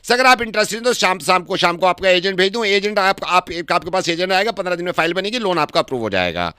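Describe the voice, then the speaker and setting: A man's voice: high-pitched, animated, quick pace, sharp tone, clear, resonant tone, no room sound, clean studio sound, pitched above the performer's own voice. A man voicing a polite, relentless bank loan salesman reeling off rehearsed patter on a prank call. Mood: eager, pushy. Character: breathless fast-pitching male bank loan salesman.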